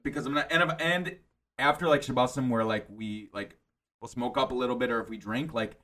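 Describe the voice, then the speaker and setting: A young man who talks loudly and quickly in casual podcast banter. He is excitable and fills his sentences with "like.". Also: mid-range voice. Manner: loud, excitable, says "like" a lot. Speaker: podcast co-host. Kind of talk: casual chat.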